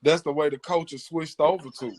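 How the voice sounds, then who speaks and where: A man's voice: on the high side, steady tone, brisk, darkish tone. A man hosting a podcast, giving his opinions in an earnest, informal conversational way. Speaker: podcast host. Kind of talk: casual opinionated podcast talk.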